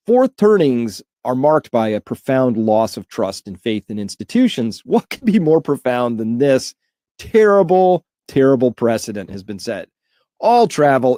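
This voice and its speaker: A man's voice: mid-range voice, warm tone, dry recording, clean studio sound. A man giving informal, opinionated news commentary as a host, emphatic and alarmed, repeating words for emphasis.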